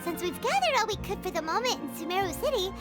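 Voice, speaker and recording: A woman voicing a cheeky, curious little fairy companion in a video game, with a squeaky childlike voice and perky delivery. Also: sing-song, fast, over loud music. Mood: cheerful. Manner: perky, exclamatory.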